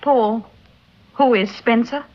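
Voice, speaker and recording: A sleuth's elegant, inquisitive wife in an old radio thriller. Her fairly high voice carries genteel Received Pronunciation and earnest, probing phrasing, through a dull, muffled vintage recording. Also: slow pace. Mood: anxious, inquisitive. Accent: English Received Pronunciation. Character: detective's devoted, inquisitive, elegant English wife. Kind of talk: mid-century BBC radio drama acting.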